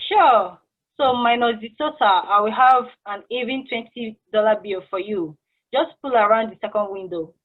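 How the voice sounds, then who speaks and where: A woman's voice: on the high side, moderate pace, lightly acted. Woman acting an awkward cashier, phone-like sound. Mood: friendly, businesslike. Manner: hesitant, informal, conversational. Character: friendly, awkward, curious female drive-through cashier. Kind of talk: casual table read of a stage play. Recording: muffled, phone-like band-limited call audio.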